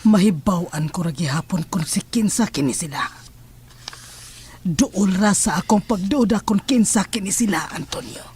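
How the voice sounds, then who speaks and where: A woman playing a watchful, fearless middle-aged mother in a horror audio drama, speaking in a grave, measured way that sometimes drops to a hush. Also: medium pitch, slightly rough, soft background music. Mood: grave, wary. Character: watchful, fearless mother figure facing hidden enemies.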